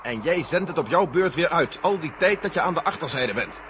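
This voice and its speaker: An actor playing an authoritative general running a space mission in a vintage science-fiction radio drama, speaking deliberately. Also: male voice, on the high side, lively intonation, lightly acted. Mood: commanding, urgent. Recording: noisy, dull old radio recording.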